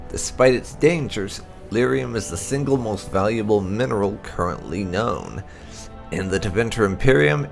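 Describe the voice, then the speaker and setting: A man reading fantasy game lore aloud as narration, in a deliberate, expressive manner with dramatic stresses. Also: on the low side, vocal fry. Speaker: host of a game playthrough channel.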